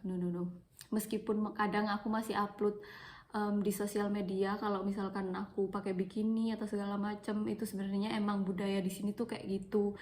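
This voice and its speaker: A woman's voice: mid-range voice, darkish tone. A young woman from Malang with an East Javanese accent, chatting casually into her phone for a personal vlog and repeating words for emphasis. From Malang, East Java, Indonesia. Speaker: vlogger.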